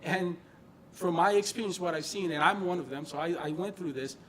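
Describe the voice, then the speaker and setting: High-voiced man presenting, faint background noise. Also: fairly quick. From born in Brazil, grew up in northern New Jersey, US. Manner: conversational, emphatic, repeats phrases for stress. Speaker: presenter, quality engineering manager. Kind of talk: informal tech meetup presentation. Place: meeting room presentation before an audience.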